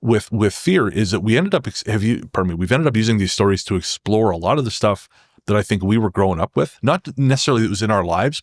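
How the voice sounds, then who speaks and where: Lowish-voiced, reflective Canadian man. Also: dry recording, studio quality. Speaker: podcast guest, horror fiction creator. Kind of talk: conversational interview talk.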